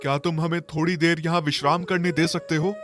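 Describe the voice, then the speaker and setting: High-voiced pleading poor husband played by a man. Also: steady tone, fairly quick, fairly bright tone, faint music behind, lightly acted. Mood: pleading, sorrowful. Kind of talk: children's cartoon story dialogue.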